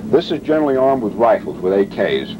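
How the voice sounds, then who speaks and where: A man giving a military training lecture as an instructor, matter-of-fact and measured, speaking in short deliberate phrases. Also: lowish voice, very animated, dull audio. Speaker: weapons instructor and presenter. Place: outdoors at a weapons demonstration. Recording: vintage training film, noisy outdoor sound.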